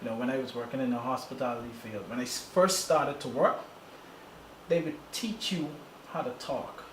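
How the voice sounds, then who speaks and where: A man who is co-hosting a casual talk show and tells stories in an animated way. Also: medium pitch, moderate pace. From Bahamas. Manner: animated storyteller, mimics voices. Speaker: podcast co-host. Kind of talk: casual opinion chat. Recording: echoey room with clear background noise.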